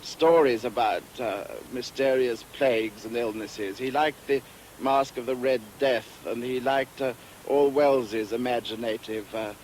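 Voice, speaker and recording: A man's voice: mid-range voice, unhurried, a little mellow. Man reminiscing on noisy archive recording. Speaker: documentary interviewee. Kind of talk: hesitant reminiscence. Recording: noisy archive 1960s documentary.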